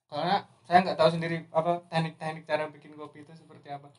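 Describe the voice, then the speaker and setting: Young male podcast host, fairly high voice. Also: level tone, quick pace. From Indonesia. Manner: friendly questioning, frequent backchannels. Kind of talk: casual podcast interview.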